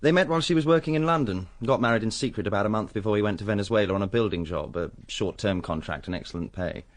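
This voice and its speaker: A man's voice: fairly low pitch, quick pace, close to an everyday voice. An actor playing a matter-of-fact upper-class young man in a radio drama, with a clipped manner. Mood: matter-of-fact, weary.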